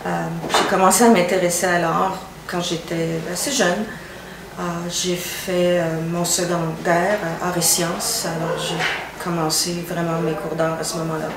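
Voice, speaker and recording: A woman's voice: on the low side, little pitch movement, unhurried. Older woman painter, echoey and distant. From Ottawa. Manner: hesitant, with fillers. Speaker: retired painter. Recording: echoey room from a distance, noise behind.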